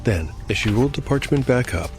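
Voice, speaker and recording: A man's voice: medium pitch, lively intonation, a little fast. A man narrating a fantasy tabletop game as storyteller and game master, in scripted style. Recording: music under voice. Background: clear music.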